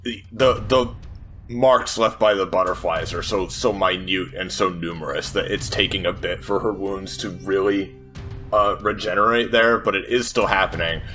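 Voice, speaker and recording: A man playing a tabletop game, casually narrating what a character does in halting, hesitant phrases. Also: sits low, sharp tone, soft background music.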